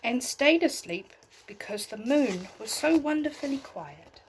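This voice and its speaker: A woman reading a bedtime story aloud in an expressive way, as if talking to young listeners. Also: high voice, measured pace, a little hiss or hum, low-quality recording. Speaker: storybook reader.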